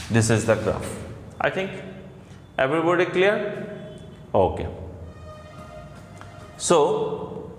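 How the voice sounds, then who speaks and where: A man with an Assamese Indian accent, a native Assamese speaker, teaching a mathematics class in short, repeated phrases with pauses between them. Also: fairly high pitch. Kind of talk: classroom lecture in English with some Assamese. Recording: echoey reverberant room with background noise.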